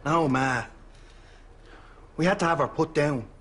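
Earnest, high-pitched Irish son. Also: male voice, a little slow, a bit bright, husky. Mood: apologetic. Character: earnest, placating grown-up son. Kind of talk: sitcom acting. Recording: noisy sitcom studio.

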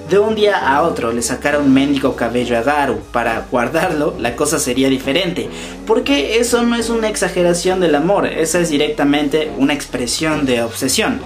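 Young Mexican man commenting over music. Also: fairly high pitch. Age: 20s. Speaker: YouTube host and commentator. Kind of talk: fan commentary to camera, partly reading posts aloud. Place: small room indoors. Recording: music behind the voice. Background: background music.

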